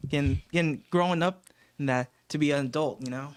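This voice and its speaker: A man's voice: fairly high pitch, brisk. A seventeen-year-old young man guesting on a casual family podcast, easygoing and a little hesitant as he asks questions. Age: teenager. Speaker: guest interviewer, host's son. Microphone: studio microphone.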